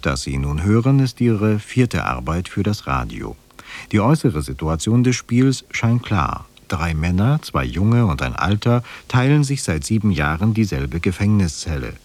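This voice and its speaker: A man's voice: sits low, a little mellow. A man working as a radio announcer, giving a scripted formal introduction to a play.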